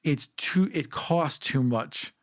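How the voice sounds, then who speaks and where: An animated man chatting on a podcast. His voice sits on the higher side, and it leaps upward whenever he stresses a point. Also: slow pace, dry recording, studio quality. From Armenian heritage. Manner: emphatic, animated, jumps up in pitch when making a point. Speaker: podcast co-host. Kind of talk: casual chat.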